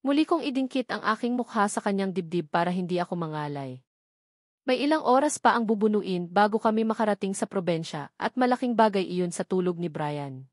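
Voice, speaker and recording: A woman's voice: mid-range voice, animated, a little fast, no echo. Female audiobook narrator, first-person romance story, scripted reading, very dry close sound.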